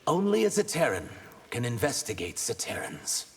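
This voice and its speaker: An actor playing an earnest, wary reptile-man warrior in a video game, husky and raspy. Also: mid-range voice, wildly swooping pitch, normal speed, full character voice. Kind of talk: video game character dialogue. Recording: long hall-like reverb.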